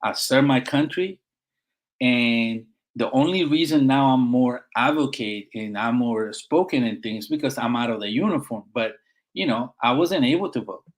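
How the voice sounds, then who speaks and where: A man's voice: medium pitch, lively intonation, normal speed. A middle-aged man with a Puerto Rican accent, a Marine veteran guest speaking earnestly in a conversational interview, with frequent fillers and restarts. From Puerto Rico.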